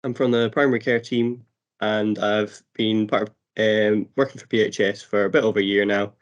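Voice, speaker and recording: A man's voice: fairly low pitch, fairly even intonation, moderate pace, muffled recording. A man with a cold presenting on a webinar video call, casual and hesitant, with many fillers. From Scotland. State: has a cold.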